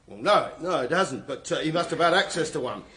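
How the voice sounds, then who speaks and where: British male chief inspector, flustered, hesitant, defensive, slightly high voice, radio comedy. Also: expressive, fairly quick, sharp tone. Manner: hesitant, stammering, evasive. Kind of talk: radio comedy drama acting.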